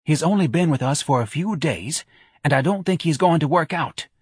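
A man's voice: on the high side, animated, moderate pace, breathy edge, dry recording, clean studio sound, pitched above the performer's own voice. A man voicing a nervous, fawning male office manager whose voice sounds false.

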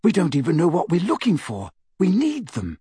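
A man voicing a gentle, reasoning teenage girl in an audiobook, quietly persuasive. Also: a little high, wildly swooping pitch, moderate pace, husky, dry recording, clean studio sound, voice pushed up, exaggerated voice. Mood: soft, persuasive. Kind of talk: audiobook character voice.

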